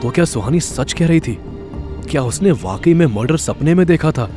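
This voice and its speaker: A man's voice: a little high, lively intonation, moderate pace, over music, lightly acted. A man playing a powerful, protective hero in an audio horror drama, speaking earnestly and firmly. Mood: baffled, intense. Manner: earnest, questioning, firm. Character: concerned, commanding powerful man, protective and intense.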